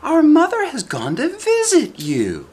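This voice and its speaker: Storybook child: man plays a wary, polite eldest little girl, pitched way up, questioning, lively intonation. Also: a little slow, exaggerated voice.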